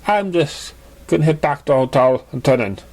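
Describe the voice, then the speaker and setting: A man's voice: on the high side, lively intonation, brisk, darkish tone, pitched above the performer's own voice. A man voicing a lovesick, anxious, self-doubting male pony in a storybook reading. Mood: feigned casualness.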